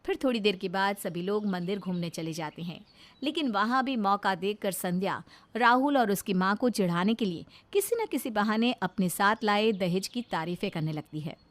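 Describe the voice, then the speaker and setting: A woman's voice: medium pitch, lively intonation, normal speed. A woman reading a scripted story as its narrator.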